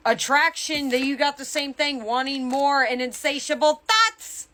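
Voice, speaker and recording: A woman's voice: high voice, a little slow, bright, forceful tone. A woman tarot reader talking casually and candidly to her viewers through an intuitive reading, with emphatic asides.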